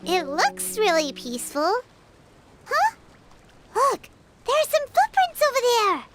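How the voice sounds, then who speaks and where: A woman voicing a tiny, excitable, chatty fairy girl with a squeaky childlike voice in a video game cutscene. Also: full character voice. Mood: curious. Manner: excitable, exclaiming, speaks of herself in third person.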